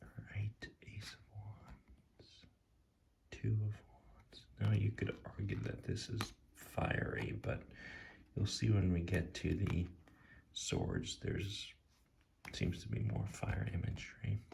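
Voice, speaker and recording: A man talking in a soft ASMR style as he reviews cards off the cuff, musing and hesitant, often drifting into a near-whisper. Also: fairly low pitch, lively intonation, takes it slowly, gravelly. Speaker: tarot deck reviewer. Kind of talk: soft-spoken ASMR, impromptu commentary. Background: soft tapping and clicking.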